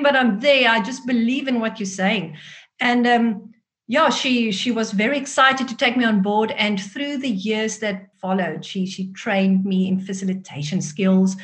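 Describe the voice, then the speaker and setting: A woman's voice: fairly high pitch, a bit bright. A woman in her forties with a South African Afrikaans accent, a native Afrikaans speaker, telling her own story expressively as an interview guest. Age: 40s. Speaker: guest author.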